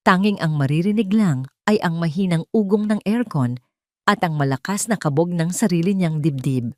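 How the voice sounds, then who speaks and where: A woman's voice: on the low side, warm tone, dry recording, studio quality. A woman with a Filipino accent reading scripted, dramatic romance narration as an audio story narrator.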